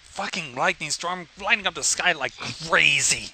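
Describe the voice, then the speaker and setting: A man's voice: on the high side, expressive, unhurried, sharp tone, slightly rough. A man co-hosting a livestream, making blunt, casual, sweary comments on a story. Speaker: livestream co-host.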